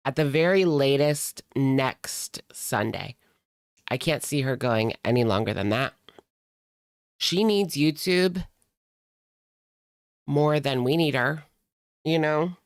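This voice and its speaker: Creaky-voiced woman giving casual reaction commentary. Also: very deep voice, slow pace, pauses often, dry recording, studio quality. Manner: sassy, slangy. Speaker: reaction channel host.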